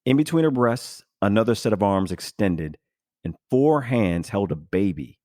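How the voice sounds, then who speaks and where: Low-voiced man, rambling podcast host. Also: animated, normal speed, darkish tone, no room sound, clean studio sound. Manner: rambling, many fillers and restarts. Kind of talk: casual podcast chat.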